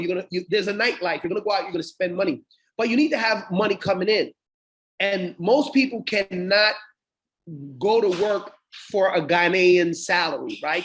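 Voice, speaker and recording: High-voiced Guyanese man, animated. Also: low-quality recording. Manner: animated storytelling, acts out quoted dialogue. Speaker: podcast guest, entrepreneur. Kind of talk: casual interview talk.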